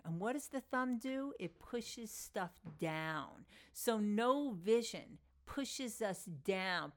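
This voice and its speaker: A woman with a Long Island New York accent hosting an informal solo talk as a teacher, emphatic and repeating phrases for stress. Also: mid-range voice. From Long Island, New York, United States. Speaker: podcast host and yoga philosophy teacher. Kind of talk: informal solo spoken lecture.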